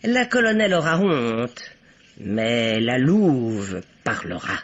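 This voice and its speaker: An actress playing a bossy, witty, prying older spinster in a theatrical old radio mystery. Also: female voice, rumbling low, sing-song, slow pace, exaggerated voice. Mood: intrigued, sly. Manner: grand, theatrical, teasing. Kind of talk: old French radio play acting. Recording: dull, lo-fi old radio recording.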